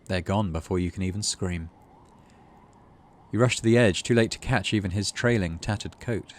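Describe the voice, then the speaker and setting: A man's voice: low voice, moderate pace, a little hiss or hum. A British man hosting a gaming playthrough, reading game text aloud and chatting casually about it. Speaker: gaming channel host.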